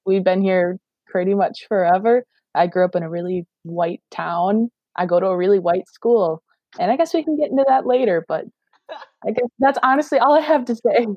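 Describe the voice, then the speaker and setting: A woman's voice: mid-range voice, moderate pace, dry recording. Young Wisconsin woman, dry lo-fi audio. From Wisconsin, United States. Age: young adult. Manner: laid-back, laughing, brief. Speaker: guest. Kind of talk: casual chat.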